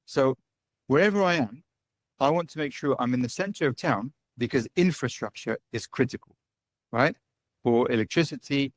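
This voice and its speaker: A middle-aged man talks through his interview answers in a relaxed, chatty way, checking in with "right?" and "you know" as he goes. His voice sits at an ordinary middle pitch. The sound is close and dry, with almost no room around it. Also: dry recording. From United Kingdom. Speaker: guest, digital nomad and business owner.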